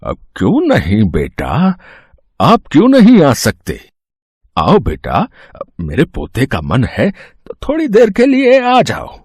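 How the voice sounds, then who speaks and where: A man playing a kindly, hospitable elderly grandfather in a moral story cartoon, coaxing gently and drawing out his words. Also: medium pitch, huge pitch swings, slow, breathy edge, no room sound, studio quality, heavily acted. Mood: kindly insisting. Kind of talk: animated Hindi moral story acting.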